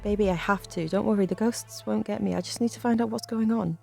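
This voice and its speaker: Concerned mum in a horror story, acted by a female narrator: coaxing, incredulous, pitch raised. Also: fast talker, vocal fry, a little mellow, faint music behind. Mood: alarmed, firm. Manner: coaxing, questioning. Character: concerned mother of a small boy, coaxing and incredulous.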